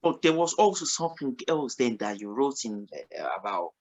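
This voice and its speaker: A man's voice: medium pitch, animated, moderate pace, lo-fi sound. A man hosting a radio interview, asking loose rambling questions and reacting to the answers. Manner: rambling, restarts questions, warm laughs. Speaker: radio show host. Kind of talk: casual interview chat.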